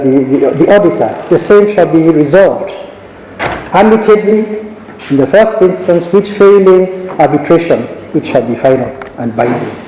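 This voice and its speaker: A middle-aged man from Kenya gives a measured conference talk, telling stories and often restarting his sentences. His voice is fairly high and mellow. It carries through a large hall with a long echo and faint background ambience. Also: a little hiss or hum. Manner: measured, anecdotal, with frequent restarts. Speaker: judge giving a conference talk. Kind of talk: conference speech. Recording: echoey hall recording.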